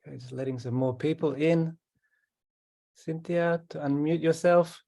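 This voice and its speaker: A man hosting an online meeting, welcoming each participant calmly and often repeating his words. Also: fairly high pitch, moderate pace, vocal fry. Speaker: host. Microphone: video call.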